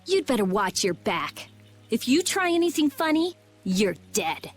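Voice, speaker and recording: Video game role: a sharp-tongued young girl, high and animated, curt and scornful, music behind. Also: a little slow. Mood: suspicious.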